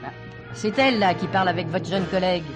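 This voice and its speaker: A woman's voice: lowish voice, fast, over loud music, close to an everyday voice. An actress playing an older former showgirl in a French stage play, chatty, emphatic, proud and full of nostalgia. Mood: gossipy. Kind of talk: French stage comedy-mystery acting.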